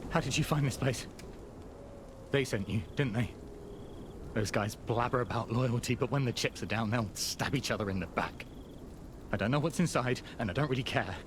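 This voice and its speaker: A man's voice: medium pitch, moderate pace, long pauses, background noise, natural voice. An actor playing a suspicious male fence in a hurry.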